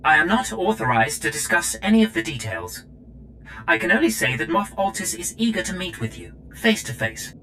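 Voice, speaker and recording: A man's voice: on the low side, big pitch swings, normal speed, rough recording. A man voicing a formal, deferential servant droid in a video game. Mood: earnest, formal. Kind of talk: scripted video game acting.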